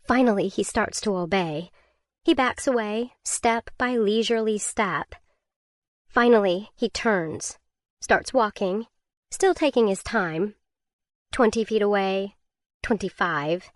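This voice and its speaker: A woman narrates a survival thriller in first person, tense and measured. Her voice sits at a mid pitch for a woman. The recording is dry and close, with no room around her. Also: dry recording. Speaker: audiobook narrator.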